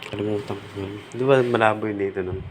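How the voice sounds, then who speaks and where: Low-voiced Filipino man over background noise. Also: steady tone, fast talker. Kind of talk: casual work chat. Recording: noisy on-site recording.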